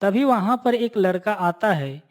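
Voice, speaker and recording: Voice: medium pitch, lively intonation, a little slow. A narrator reading a scripted moral story for a cartoon in a storytelling style.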